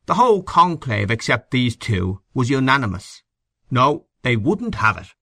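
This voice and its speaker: A man voicing a thick-set, authoritative Irish gentleman who holds forth emphatically in an audiobook. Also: sing-song, a little slow, no echo, studio quality, heavily acted. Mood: holding forth. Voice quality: deep, raucous. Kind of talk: audiobook character acting.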